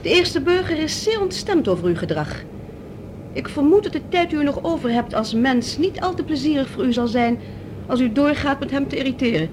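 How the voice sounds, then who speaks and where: Icy female alien leader, high animated voice, formal threats and clipped orders, noisy old radio drama tape. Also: a little fast. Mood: icy menace. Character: cold commanding female leader of alien mind-controllers. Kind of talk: Dutch radio drama acting.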